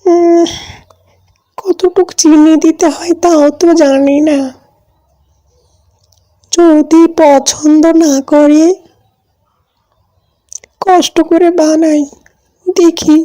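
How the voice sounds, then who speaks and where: A woman's voice: very high-pitched, fairly even intonation, very slow, long pauses, mellow, full character voice. A woman voicing a meek, timid young bride in a cartoon, speaking hesitantly and apologetically. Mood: nervous.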